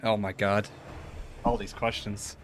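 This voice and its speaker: Guy in his 30s, low quick voice, slangy gamer chat, sweary, game music behind. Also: flat delivery. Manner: informal, slangy, swears freely. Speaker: streamer playing an online raid game. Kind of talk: casual gaming chat and commentary. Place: small room at home.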